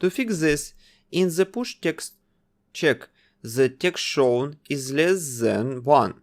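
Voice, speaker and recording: Man with a lively voice narrating a coding tutorial. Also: on the high side, slow. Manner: explains code step by step while testing it. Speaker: game development tutor. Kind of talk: screen-recorded coding tutorial.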